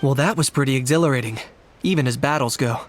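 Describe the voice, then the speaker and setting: A man playing a calm, reserved young fighter in a video game, composed and understated. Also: medium pitch, fast talker, slight background noise, natural voice. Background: music.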